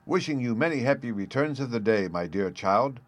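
A man voicing a kindly, absent-minded old male professor who speaks gently and fussily. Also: medium pitch, expressive, moderate pace, pitched above the performer's own voice, natural voice. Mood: gleeful. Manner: gentle, fussy and polite.

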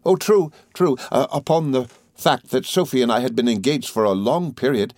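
Man voicing an earnest, stammering young gentleman lawyer. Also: medium pitch, normal speed, no echo, studio quality, pitched above the performer's own voice, natural voice. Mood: earnest, rambling. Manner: hesitant, stammering, effusively polite. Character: earnest, cheerful, modest young male lawyer, eagerly confiding. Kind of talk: audiobook character dialogue.

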